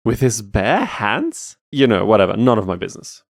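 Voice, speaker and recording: A man's voice: lowish voice, very animated, normal speed, vocal fry, no room sound, clean studio sound. A man narrating a gameplay challenge as a video host, casual and wry, with mock-exasperated asides. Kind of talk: scripted gameplay commentary.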